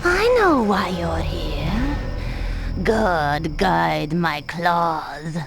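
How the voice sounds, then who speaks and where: A woman's voice: fairly low pitch, lively intonation, drawn-out words, gravelly, exaggerated voice. An actress playing a mad, zealous noblewoman turned killer, ranting with menacing religious fervor in a video game. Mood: fanatical menace. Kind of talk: dramatic video game acting. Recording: music behind the voice.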